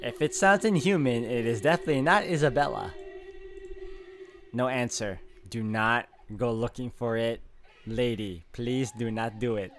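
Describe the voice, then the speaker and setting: A man's voice: mid-range voice, moderate pace, slight background noise. A man hosting a gaming video, reading story text aloud dramatically and reacting as he plays. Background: game music.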